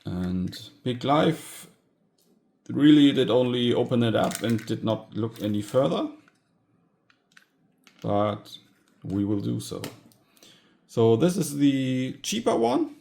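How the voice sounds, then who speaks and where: A man with a mid-pitched, creaky voice presents gadgets as a hobbyist host. He speaks slowly and informally. His delivery is halting, with frequent pauses partway through sentences. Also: sing-song, darkish tone. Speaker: tech hobbyist video host. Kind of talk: casual hands-on gadget teardown narration.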